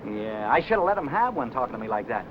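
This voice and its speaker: Man acting a fast-talking, indignant wise-guy pal. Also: medium pitch, lightly acted. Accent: American. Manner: slangy, animated griping. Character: indignant, chatty wise-guy pal griping about his boss. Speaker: film actor. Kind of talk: 1950 film noir acting. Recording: noisy, dull old film soundtrack.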